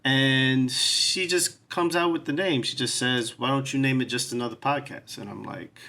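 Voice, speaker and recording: A man's voice: mid-range voice, steady tone, normal speed, pushed and bright. A man, hosting his podcast and talking earnestly about his life and the show in a casual, reflective way. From New York City. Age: 40s. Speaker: podcast host. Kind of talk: solo podcast introduction.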